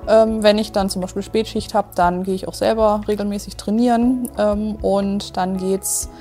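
A woman's voice: medium pitch, fairly even intonation, normal speed, mellow, soft background music. A woman in her late twenties, a nutrition coach describing her workday casually, with many fillers. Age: 20s. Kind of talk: recruiting video interview.

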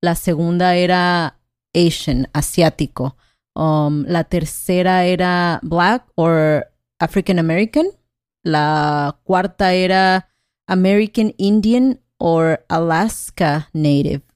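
Slow, thoughtful Mexican-born woman. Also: on the low side, a little mellow, smooth, no room sound, studio quality. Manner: thoughtful, hesitant, with frequent "¿no?" tags. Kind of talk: casual conversational chat.

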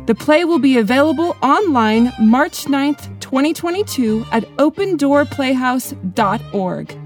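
High-pitched woman announcer over music. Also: expressive, measured pace. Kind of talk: scripted podcast introduction.

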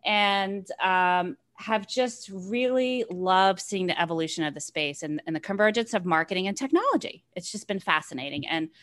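Loud, excitable woman guest. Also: medium pitch, moderate pace, hardly any pauses. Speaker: podcast guest, marketing executive. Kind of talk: casual interview chat.

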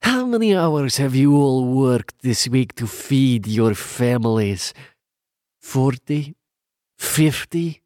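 Man acting slow, mocking theatrical villain. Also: mid-range voice, lively intonation, a little mellow, dry recording, studio quality. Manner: sardonic, taunting. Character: mocking, theatrical male villain crashing a party. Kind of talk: audio drama acting.

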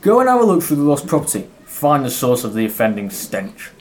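A man's voice: medium pitch, wildly swooping pitch, a little fast. A man playing a stern, formal railway station boss in an audio drama. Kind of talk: community audio drama acting. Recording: noticeable background ambience noise.

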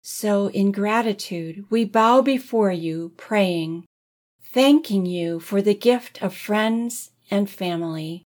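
A woman leading a congregation in prayer with gentle, deliberate phrasing. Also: mid-range voice. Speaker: music director leading prayer. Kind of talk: led liturgical prayer.

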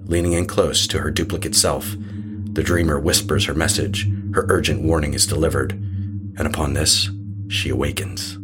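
A man narrating a horror audio drama in a slow, ominous, measured way. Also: a bass voice, fairly even intonation.

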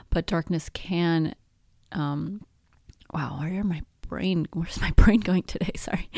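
A woman's voice: low voice, creaky, darkish tone, dry recording, clean studio sound. A woman in her thirties whose first language is Spanish, hosting a podcast and giving chatty, self-deprecating advice on baby sleep. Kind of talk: casual advice talk.

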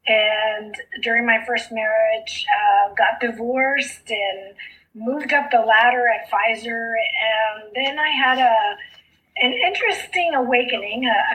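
A woman's voice: on the high side, bright, forceful tone, low-quality recording. A middle-aged woman from South Texas who grew up speaking Spanish, telling her story in an earnest, heartfelt way as a podcast guest. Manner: earnest, heartfelt storytelling with slow emphatic phrases. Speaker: guest, author and coach. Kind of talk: podcast interview.

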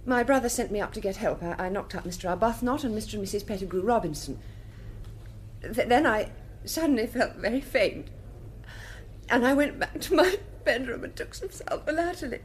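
Woman to play fragile, guarded English society lady in a radio play, hesitant delivery, medium-pitched, slight background noise. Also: very fast, few pauses, a little mellow, close to an everyday voice. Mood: anxious. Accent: upper-class English. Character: nervous upper-class young lady witness, guarded and fragile. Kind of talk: British radio drama acting.

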